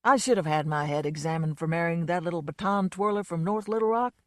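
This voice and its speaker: A woman's voice: lowish voice, fast talker, dry recording, studio quality, close to an everyday voice. A woman voicing a drunk, blustering man. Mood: maudlin boasting. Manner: loud, swaggering, slurred. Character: drunken blustering man, a boastful folksy entertainer.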